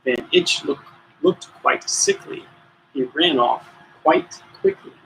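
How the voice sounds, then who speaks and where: A man reading a children's story aloud with theatrical, deliberate emphasis. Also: on the high side, huge pitch swings, a bit bright, slight background noise, rough recording. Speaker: storybook reader.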